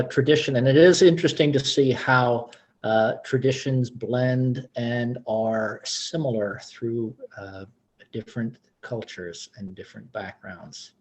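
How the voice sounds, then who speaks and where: A man hosts an online community event in an easy, informal way. He thanks the performers and introduces the next presenter in plain, everyday speech. His voice sits at an ordinary pitch. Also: darkish tone. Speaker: event host. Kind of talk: hosting a virtual cultural event.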